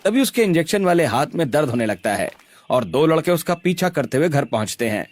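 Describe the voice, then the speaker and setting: A man's voice: fairly high pitch, very animated, a little fast. A man narrating a scripted story in a dramatic storytelling style.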